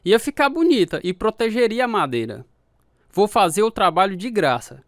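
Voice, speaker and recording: Casting: earnest young Brazilian sailor husband, reassuring, very animated intonation, dry radio drama studio sound. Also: male voice, high-pitched, moderate pace, dry recording. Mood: polite, helpful. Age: young adult. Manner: reassuring, expressive.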